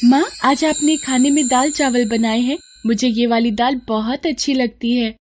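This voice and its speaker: A woman's voice: fairly high pitch, fairly even intonation, moderate pace, a little mellow, pitched above the performer's own voice, lightly acted. A woman voicing a sweet, timid little village daughter in a moral-story cartoon. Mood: happy.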